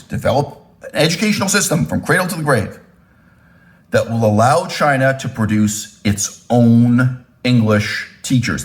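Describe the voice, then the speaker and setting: A man delivers a spoken commentary in an emphatic lecturing tone, at a medium pitch that leaps upward on the words he wants to land. He talks straight to his viewers and keeps checking in with "right?" and "okay?", moving between slow, weighted phrases and quick asides. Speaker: channel host. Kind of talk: spoken political commentary monologue.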